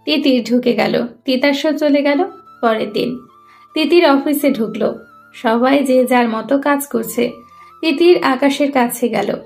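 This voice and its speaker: A woman's voice: sits high, measured pace, gravelly, a little mellow, soft background music. A woman reading scripted story narration as the narrator.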